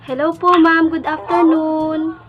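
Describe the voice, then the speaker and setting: High-pitched Filipino woman vlogging. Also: measured pace, muffled recording, soft background music. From Philippines. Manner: animated, giggly, informal. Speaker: vlogger, prank caller. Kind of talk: casual chatty vlog.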